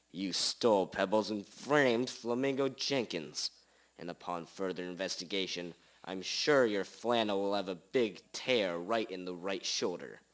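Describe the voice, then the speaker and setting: A man's voice: sits low, a bit bright. A young man playing a hard-boiled but bumbling detective in an amateur radio drama, with a theatrical, declarative delivery. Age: teenager or young adult. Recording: amateur radio recording with music behind.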